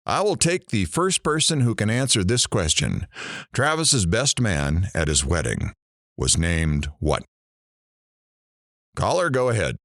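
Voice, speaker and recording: An actor playing a sardonic morning radio host in an audio drama, with dry, theatrical sarcasm. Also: male voice, on the low side, sing-song, unhurried, pauses often, a bit bright, no echo, heavily acted. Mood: wry, brisk. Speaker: radio talk show host.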